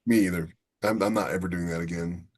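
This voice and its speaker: A man's voice: low voice, fairly even intonation, fast talker, a little mellow. A man co-hosting a writers' podcast, talking casually and bluntly, repeating himself for emphasis. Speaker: podcast co-host and science fiction author. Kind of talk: casual conversation among writers.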